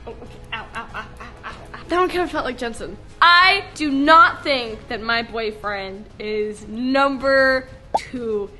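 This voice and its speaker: Giggly high-voiced young woman vlogger. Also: sharp tone, faint music behind. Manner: giggly, dramatic, quick reactions. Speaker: vlogger blindfolded in a challenge. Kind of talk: excited casual chat. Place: bright living room indoors.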